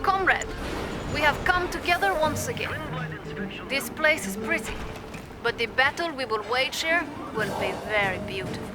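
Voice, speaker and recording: An actress playing a playful, poetic military commander in a video game. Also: female voice, high voice, lively intonation, normal speed. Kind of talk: video game character acting. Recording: loud music behind.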